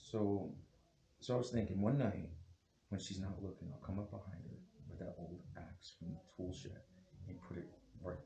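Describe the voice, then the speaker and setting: A man playing a scheming, unfaithful husband and impatient lover, melodramatic and halting, at a film script table read. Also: deep voice, dark tone, a little hiss or hum. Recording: echoey room.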